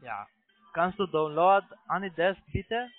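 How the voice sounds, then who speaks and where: A man with a foreign accent, not a native speaker, making a halting sales pitch over the phone in broken sentences with repeated restarts. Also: animated, dry recording, faint music behind. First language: not German. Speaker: phone sales agent, investment scam caller. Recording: tinny narrow phone line.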